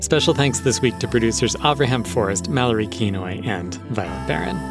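A man's voice: medium pitch, lively intonation, fairly quick, vocal fry, music in the background. A man hosting a public radio show, reading in a conversational scripted style. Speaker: radio show and podcast host.

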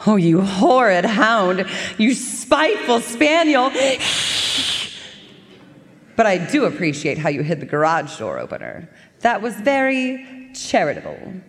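A woman's voice: mid-range voice, very animated, measured pace, a little hiss or hum. Lady acting as a snobby sly cat, dramatic and swoopy, radio play, big echoey hall. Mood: indignant, then purring, charmed. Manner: theatrical, arch, florid insults. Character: haughty, sly female house cat with theatrical indignation.

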